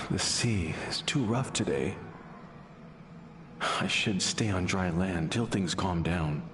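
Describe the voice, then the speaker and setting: An actor playing a dazed fisherman in a video game, talking in a vague, drawn-out way. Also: sits low, a bit bright, a little hiss or hum. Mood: anxious. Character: carefree, dazed male fisherman with buried worries. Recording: music behind the voice.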